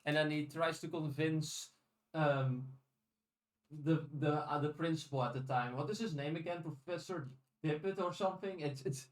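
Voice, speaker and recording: A man's voice: on the high side, moderate pace. A man co-hosting a podcast in casual, rambling discussion, often paraphrasing in dialogue. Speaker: podcast co-host. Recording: roomy, echoey sound.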